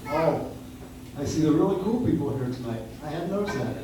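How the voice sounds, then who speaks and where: A man's voice: fairly high pitch, animated, fairly quick, recorded from a distance. A man casually and informally introducing a live show on stage, recorded from the audience in an echoey room. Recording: echoey room from afar, clear noise.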